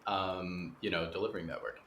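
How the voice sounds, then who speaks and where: Low-voiced man interviewing in an echoey room. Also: fairly quick, fairly bright tone. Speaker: podcast interviewer, host. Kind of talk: conversational interview questioning. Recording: roomy echo, faint background noise.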